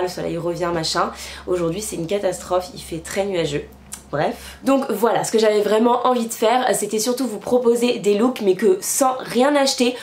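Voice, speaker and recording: A young woman in her twenties chatting casually to her viewers in a vlog, a bit stuffy from a cold, rambling with lots of fillers. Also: mid-range voice. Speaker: beauty YouTuber. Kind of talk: casual vlog chat to camera. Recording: echoey room.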